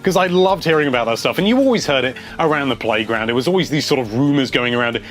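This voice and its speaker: A man's voice: medium pitch, lively intonation, fast, fairly bright tone. A man hosting a casual video, reading out viewer comments and chatting about them in a rambling, enthusiastic way.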